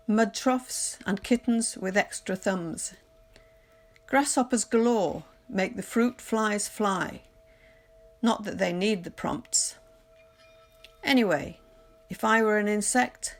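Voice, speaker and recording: A woman reading poetry aloud in a measured, literary recitation, deliberately pausing phrase by phrase. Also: medium pitch, creaky. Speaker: poetry reader. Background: music.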